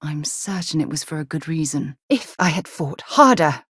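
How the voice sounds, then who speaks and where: A principled, adventurous woman explorer in a space game. Her voice is notably low and recorded very dry. She speaks articulately, earnest with a wry edge. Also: expressive, normal speed, a bit bright, husky, dry recording, exaggerated voice. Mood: earnest, firm. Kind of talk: video game character dialogue.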